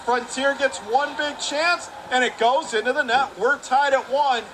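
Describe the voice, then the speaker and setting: A man calling live hockey play-by-play on radio, his calls climbing into shouts when the play heats up. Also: extremely high pitch. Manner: rapid calls rising to shouts on big plays. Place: hockey arena broadcast booth. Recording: noisy arena background.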